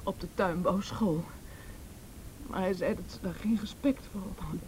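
A woman acting a distraught, defensive mother, halting and pleading, in a Dutch radio play. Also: on the low side, darkish tone, slight background noise, natural voice, poor audio. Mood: anxious, defensive.

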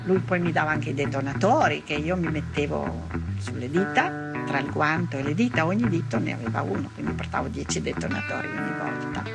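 Creaky low-voiced woman. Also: sing-song, brisk. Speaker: former partisan, interviewee. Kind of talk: recounting wartime memories. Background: music.

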